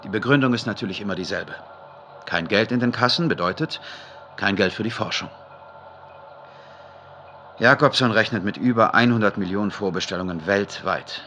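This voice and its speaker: Audio drama researcher: low bright voice, measured and reflective, dictating notes over background noise. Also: male voice. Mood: sober, disapproving. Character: pensive male medical researcher dictating work notes.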